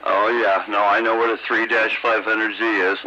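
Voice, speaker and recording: A middle-aged or older American man chatting casually as a radio hobbyist, halting and repeating his words, over a CB radio transmission. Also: fairly low pitch, level tone. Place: two-way CB radio conversation. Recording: dull, noisy CB radio transmission.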